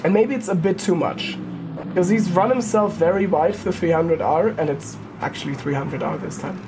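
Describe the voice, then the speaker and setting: A woman's voice: on the low side, moderate pace, noisy background. A woman giving casual live commentary on a sim race, thinking aloud and correcting herself as she goes. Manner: informal, thinking aloud, hesitant self-correcting.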